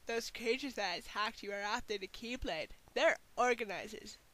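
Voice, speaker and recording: A woman's voice: a little high, moderate pace, bright, forceful tone. A woman voicing perky, casual young female game characters in a fan parody dub, on a hissy amateur recording.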